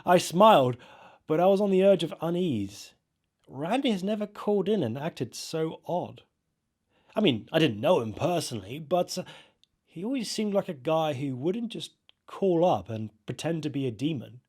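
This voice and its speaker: A man narrating a first-person horror story in an expressive, dramatised way with halting pauses. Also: on the high side. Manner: expressive, halting, acts out reactions.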